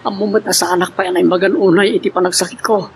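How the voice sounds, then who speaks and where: A man's voice: sits high, fairly even intonation, quick pace, gravelly. An actor playing a hurt, remorseful man pleading with his lover in an Ilocano melodrama. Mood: weary, pleading. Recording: faint background noise.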